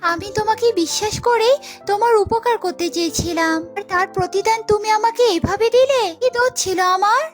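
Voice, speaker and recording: A woman's voice: very high voice, fairly quick, faint music behind. A woman playing a kind, headstrong young princess in a children's cartoon, earnest and insistent. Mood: distressed.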